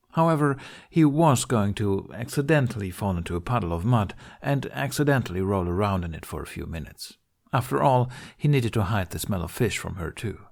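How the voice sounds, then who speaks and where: A man reading scripted fiction aloud as an audiobook narrator, in a measured storytelling manner. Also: on the low side, vocal fry, darkish tone.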